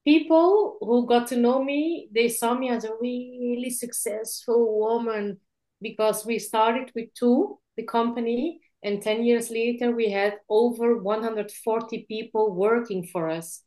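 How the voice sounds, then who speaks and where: A woman's voice: on the high side, unhurried, poor audio. Belgian-born woman telling her story. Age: 40s. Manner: warm, lively storytelling, adds "yeah?". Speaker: guest speaker. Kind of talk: online interview, personal story. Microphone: video call.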